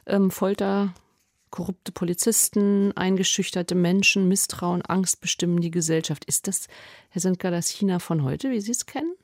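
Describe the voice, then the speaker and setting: A woman interviewing an author, asking calm, probing questions. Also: fairly low pitch, moderate pace, no echo, studio quality. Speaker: radio interviewer, host. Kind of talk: radio interview.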